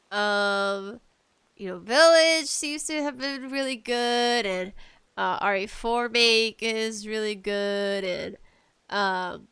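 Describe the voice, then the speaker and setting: A woman hosting a casual gaming podcast, talking solo in a slangy, conversational way with animated asides. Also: medium pitch, clear, resonant tone, no echo. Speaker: podcast host.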